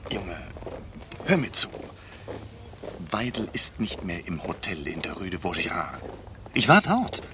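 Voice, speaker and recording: An actor playing an anxious, self-important refugee writer, hesitant yet insistent, in radio play dialogue. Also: male voice, low voice, sing-song, unhurried, long pauses. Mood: secretive, nervous. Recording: noisy street ambience with traffic.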